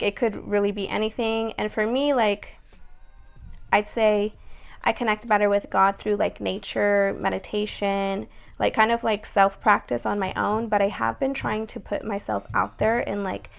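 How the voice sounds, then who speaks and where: A young woman with a raspy voice talking casually to her viewers, chatty and full of fillers. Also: mid-range voice. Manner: chatty, many likes and ums. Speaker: vlogger. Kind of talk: casual vlog talk to camera.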